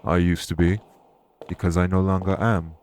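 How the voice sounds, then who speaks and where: Audio roleplay lead: male actor as dignified ex-king and fae minister, low register, formal, reassuring delivery. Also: little pitch movement, a little mellow, slight background noise, natural voice. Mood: reserved, matter-of-fact. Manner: formal, measured, reassuring phrasing. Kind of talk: audio roleplay spoken to the listener.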